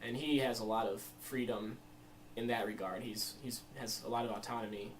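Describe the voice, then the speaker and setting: A man's voice: fairly low pitch, fairly even intonation, normal speed. A 21-year-old American man giving informal, reflective interview answers in a rambling, hesitant way with many you-knows. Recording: distant mic, faint room noise.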